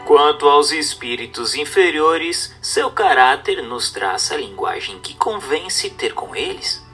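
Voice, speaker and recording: Male narrator, bright and higher-pitched, solemn scripted religious reading over music. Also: lively intonation, unhurried.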